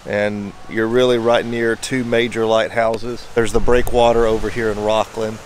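A young man holds his camera at arm's length on a coastal trail, his voice a little lower than most. He talks in an upbeat, tour-guide manner, and a faint hum of wind and waves sits behind him. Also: little pitch movement, moderate pace, poor audio. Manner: enthusiastic tour-guide chatter. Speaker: vlogger. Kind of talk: casual travel vlog narration. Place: outdoors on a wooded coastal trail. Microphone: handheld camera at arm's length.

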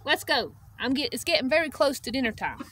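A woman's voice: high voice, big pitch swings, fairly quick, fairly bright tone. A woman voicing a polite, determined male moose in a picture book, earnest and coaxing, recorded outdoors. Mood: apologetic, firm. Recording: outdoor noise with clucking poultry.

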